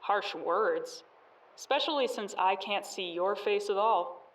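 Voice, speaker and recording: A woman's voice: medium pitch, a little slow, close to an everyday voice. A cocky bandit played by a woman sounds off from a distance with plenty of echo. She is sarcastic and drily taunting, in the style of an audio drama. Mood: impatient, mocking. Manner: taunting, dry. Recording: echoey, distant from the microphone.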